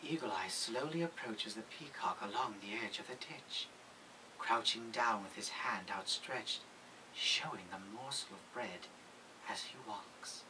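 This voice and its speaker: A man playing the storyteller of an audio drama, reading scripted narration in a measured way. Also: lowish voice, recorded from a distance, noisy background, natural voice.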